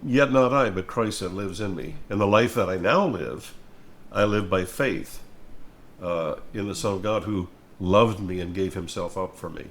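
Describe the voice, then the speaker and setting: An older man with a lowish voice talks through matters of faith in conversation. He is earnest and somewhat preachy, restating phrases to drive them home, with noticeable noise behind him. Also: normal speed. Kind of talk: reflective devotional conversation.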